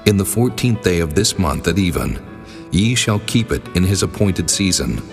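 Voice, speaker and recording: Casting: male actor for the Almighty, low solemn voice, commanding and measured, long reverb, scripture audio drama over music. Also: big pitch swings, gravelly, exaggerated voice. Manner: stately, measured, authoritative pronouncements.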